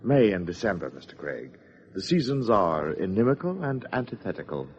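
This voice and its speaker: An actor playing a pompous, sardonic party host in a 1950s radio drama, wordy and theatrical. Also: male voice, lowish voice, sing-song, normal speed, slight background noise. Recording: dull old radio recording.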